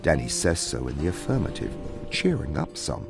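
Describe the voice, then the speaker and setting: A man's voice: a bass voice, big pitch swings, moderate pace, gravelly, over music. A man narrating a scripted fantasy story in a theatrical storybook manner.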